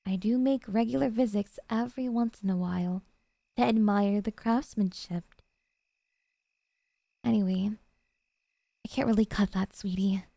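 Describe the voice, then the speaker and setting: A woman playing a doting, jealous yandere girlfriend in an ASMR roleplay, coaxing and sweetly earnest with hesitant pauses. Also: medium pitch, creaky, dark tone, no room sound. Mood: pleading, dreamy.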